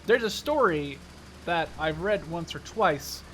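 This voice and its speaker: Young guy in his 20s, pretty high voice, rambly casual gamer commentary, engine and game noise behind him. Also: animated, takes it slowly, poor audio. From Netherlands. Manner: informal, rambling, digressive storytelling. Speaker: gaming video host. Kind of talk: casual gameplay commentary with history asides.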